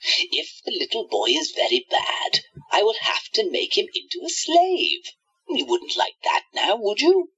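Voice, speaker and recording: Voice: mid-range voice, huge pitch swings, measured pace, sharp tone, husky, lo-fi sound, exaggerated voice. An actor playing a sadistic alien tyrant in a radio play, taunting in a theatrical, mock-sweet, menacing way.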